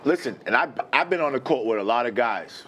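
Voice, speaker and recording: Animated, emphatic man with swooping pitch. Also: fairly quick, slight background noise. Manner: emphatic, repeats himself, slangy. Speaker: sports show panelist. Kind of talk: animated casual sports banter.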